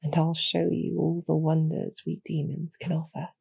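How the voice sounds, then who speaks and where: A woman playing a calm, courteous female demon general in a roleplay audio, speaking softly with measured, gently formal persuasion and a husky, creaky edge. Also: sits low, no echo, heavily acted. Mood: pleased, soft. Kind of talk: slow, soothing scripted roleplay audio for sleep.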